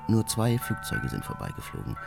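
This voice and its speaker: Reclusive hermit, extremely low voice, measured diary monologue, radio drama, contemplative, loud music underneath. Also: male voice, huge pitch swings, vocal fry, heavily acted. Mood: wistful, enchanted. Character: solitary male hermit reading his reflective nature diary. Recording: studio voice under prominent music. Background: prominent music with mallet percussion.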